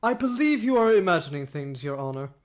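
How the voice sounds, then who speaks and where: A man's voice: sits high, big pitch swings, a little fast, a crowd in the background. A man voicing a composed male prosecutor, dry and formal, reading game dialogue aloud. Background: game music.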